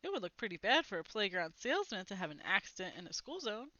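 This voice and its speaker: A woman reads a children's story aloud in an animated, dramatic way. Her pitch swings widely through each sentence and her tone is bright and forward. The sound is dry, with very little room to it. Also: fast, dry recording, highs cut off. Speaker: storybook reader. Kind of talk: expressive read-aloud of a children's novel.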